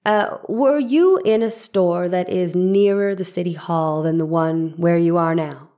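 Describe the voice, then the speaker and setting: A woman acts out a probing 1890s defense lawyer in an audio courtroom drama. The voice is dark and rather low for a woman, and the questioning is careful and pressing. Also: measured pace, natural voice. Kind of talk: dramatic reading of a court transcript.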